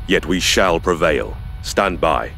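An actor playing a stern warrior-monk squad sergeant in an audio drama, speaking in a formal, measured, commanding manner. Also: male voice, low voice, animated, vocal fry, exaggerated voice. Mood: composed, resolute.

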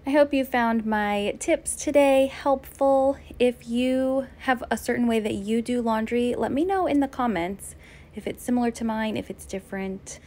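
Calm woman sharing home tips. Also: a little high, moderate pace. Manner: calm, friendly, practical tips. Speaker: home organizing vlogger. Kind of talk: scripted voice-over narration.

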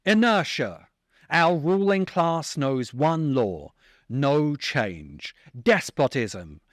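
A middle-aged man narrating a scripted video essay in a deliberate, emphatic way, close to the microphone. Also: medium pitch, dry recording. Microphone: close desk microphone.

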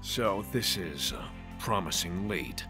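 A man playing a measured, skeptical father leading a quest in a fantasy audio drama. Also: low-pitched, animated, over music.

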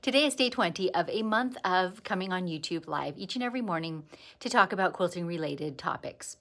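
A woman's voice: fairly low pitch, fairly quick. An older woman from Canada with a Canadian accent, hosting a casual quilting livestream, greeting viewers by name and chatting about her craft. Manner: chatty, warm greetings to viewers, lively bursts of excitement. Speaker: quilting teacher and livestream host. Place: indoor sewing studio.

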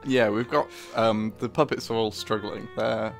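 A man with a noticeably creaky voice chats informally, as a performer does on a commentary track. He is agreeable and somewhat halting. A musical soundtrack is clearly audible behind him. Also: fairly low pitch, steady tone. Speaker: co-host, puppet show writer and performer. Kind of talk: casual behind-the-scenes audio commentary. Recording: music under the talk. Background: musical soundtrack of the show being discussed.

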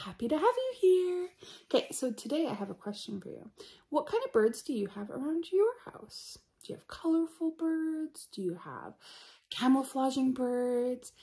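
A woman's voice: sits high, big pitch swings, normal speed, dark tone, breathy edge. A middle-aged woman from Canada reading a picture book aloud, expressively addressing children as story-time host.

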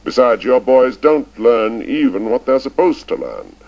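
A man's voice: medium pitch, big pitch swings, a little slow, darkish tone, voice pushed up. A man voicing a cold, stern middle-aged headmaster who speaks in a clipped, formal way. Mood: lecturing. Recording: dull lo-fi recording.

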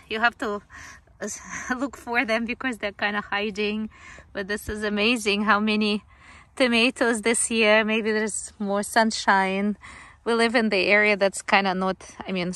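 A woman's voice: medium pitch, fairly bright tone. A woman, hosting a casual farm vlog and interviewing a farmer in an upbeat, encouraging way. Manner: upbeat, encouraging, with many "mm-hmm" backchannels. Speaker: host and interviewer. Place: outdoors on a farm. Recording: roomy outdoor sound with faint noise.

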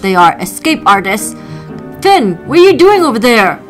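A woman voicing a friendly, easygoing merman dad who calls out loudly to his pet. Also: on the high side, very animated, moderate pace. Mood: hearty. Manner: loud, calling out, hearty. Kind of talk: doll play storytelling. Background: children's music.

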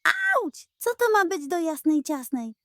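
A woman's voice: high-pitched, sing-song, unhurried, pushed and bright, no echo, studio quality, pitched above the performer's own voice, exaggerated voice. A woman voicing a sly, greedy male pug in a children's audio tale, talking in a grandiose, mock-solemn, scheming way. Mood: outraged.

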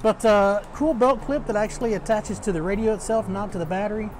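A man in his thirties giving a casual, informal review to camera as host, with a halting delivery. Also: high voice, heavy background noise. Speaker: product reviewer, channel host.

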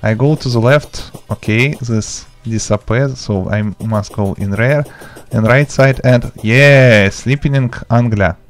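A man, speaking in halting, simple step-by-step instructions as he hosts an informal video game tutorial. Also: mid-range voice. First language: not English. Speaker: gaming tutorial host. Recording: music behind the voice. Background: background music.

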